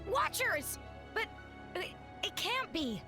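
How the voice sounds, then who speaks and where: A young woman playing an earnest, clever teenage alien girl in an animated video game, thoughtful and dryly teasing. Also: extremely high pitch, slow, over music, exaggerated voice. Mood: alarmed.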